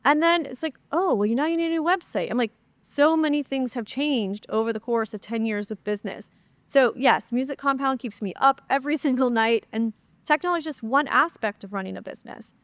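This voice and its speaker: A woman podcast host chats away on her own show, her voice slightly high and stuffed up from a cold. She talks upbeat and casual, full of fillers. The close mic sounds dry, with no room around her. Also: dry recording. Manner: upbeat, chatty, many fillers. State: congested, nasal from a cold. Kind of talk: solo podcast Q&A, casual chat.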